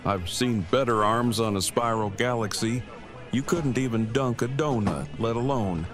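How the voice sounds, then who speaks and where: A man's voice: mid-range voice, moderate pace, natural voice. A man playing a pedantic, teasing nerd with stilted, wordy, folksy formality in an audio drama. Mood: taunting. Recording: faint music under the voice.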